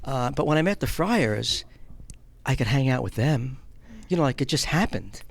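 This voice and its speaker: A man's voice: medium pitch, animated, moderate pace, vocal fry. A middle-aged man with a New York accent, answering as an interview guest in a hesitant, reflective, plain-spoken conversational way. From Long Island, New York, United States. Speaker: guest, film producer and charity house director.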